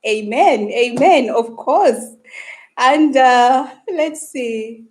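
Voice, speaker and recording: Woman, Luganda speaker, live-stream host, chatty and a touch high-pitched. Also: very slow, a little mellow. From Uganda. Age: adult woman, married. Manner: chatty, greets and reads viewer comments aloud. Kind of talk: casual live-stream chat.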